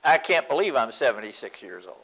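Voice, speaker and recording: A man's voice: mid-range voice, sing-song, a little fast, fairly bright tone, low-quality recording. A man in his seventies preaching a sermon, emphatic, repeating phrases for stress. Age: 70s.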